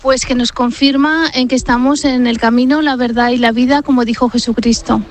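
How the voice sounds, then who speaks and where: Female pilgrim, high voice, casual off-the-cuff interview answers outdoors. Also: fairly even intonation, brisk, a little hiss or hum. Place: outdoors in a meadow.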